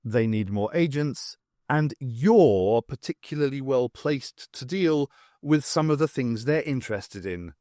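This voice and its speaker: A man's voice: mid-range voice, expressive, a little slow, mellow, no echo, studio quality, close to an everyday voice. A man voicing an earnest male envoy in an audiobook, formal and explanatory in manner. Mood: businesslike.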